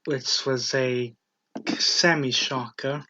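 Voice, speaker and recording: Slow-talking man, lo-fi recording. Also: medium pitch, steady tone, heavy background noise. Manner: slow, hesitant, with fillers and laughs. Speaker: podcast co-host. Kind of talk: casual wrestling chat. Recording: dull lo-fi home recording.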